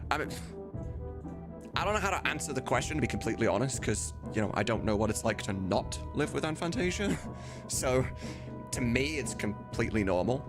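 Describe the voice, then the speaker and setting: A man streamer talks in a casual, candid ramble, as if answering viewers. His voice sits at an average male pitch. Clear music plays behind him. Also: lively intonation, a little fast.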